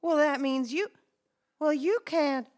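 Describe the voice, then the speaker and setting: An actress playing an insistent, fond small-town mother in a radio drama, coaxing and brisk. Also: high-pitched, darkish tone. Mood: curious. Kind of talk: old-time radio drama acting.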